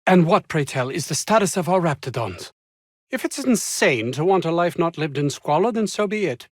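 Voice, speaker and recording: A haughty, ambitious scientist from a video game. His voice sits fairly high for a man and swings expressively, with fussy, formal diction and an anxious edge. The sound is dry and close. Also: lively intonation, moderate pace, no echo. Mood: defensive, indignant. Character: pompous, ambitious, anxious male research scientist. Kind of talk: theatrical game dialogue.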